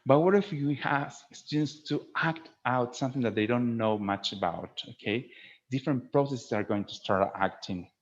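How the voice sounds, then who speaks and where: A man's voice: mid-range voice, moderate pace. A man presenting a webinar to fellow teachers in a didactic way, stressing key words and often checking in with a short questioning tag. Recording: dull lo-fi online webinar audio.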